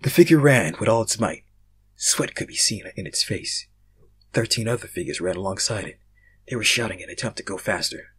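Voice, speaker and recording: A young man reading fan fiction aloud, casual in his chat and theatrical in his narration, swinging between hushed and loud. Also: on the low side, lively intonation, a little fast, slightly rough. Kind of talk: casual intro and dramatic story narration.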